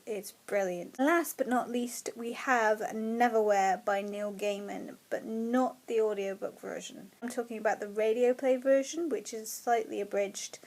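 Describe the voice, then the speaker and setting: A woman's voice: on the high side, darkish tone. A woman from the UK chatting casually and enthusiastically to camera about books she loves, laughing often. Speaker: book vlogger.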